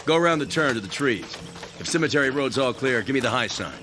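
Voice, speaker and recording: An American actor playing a brusque, sarcastic army sergeant who barks orders in a radio drama. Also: male voice, mid-range voice, expressive, fast talker, noisy background, natural voice. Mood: commanding. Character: gruff commanding male army sergeant on patrol.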